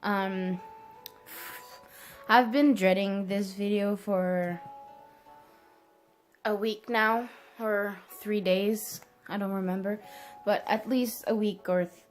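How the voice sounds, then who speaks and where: Slow, hesitant young woman. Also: medium pitch, fairly even intonation, soft background music. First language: French. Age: early 20s. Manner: hesitant, halting. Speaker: vlogger. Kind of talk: casual personal vlog to camera.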